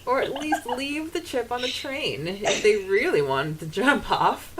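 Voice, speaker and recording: A woman co-hosting a podcast, giggly and informal, mixing casual chat with expressive reading aloud. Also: a little high, unhurried. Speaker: podcast co-host. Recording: faint background hiss.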